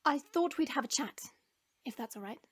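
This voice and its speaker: A woman's voice: sits high, lively intonation, normal speed, dry recording. A woman playing a proud, protective dragon princess in an audio drama, with formal, stately phrasing. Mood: solemn, reassuring.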